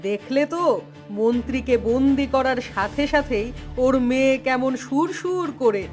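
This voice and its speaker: A woman's voice: high-pitched, unhurried, darkish tone, faint music behind. A woman voicing an imperious, scheming queen in a cartoon, with a haughty, commanding manner. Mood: smug.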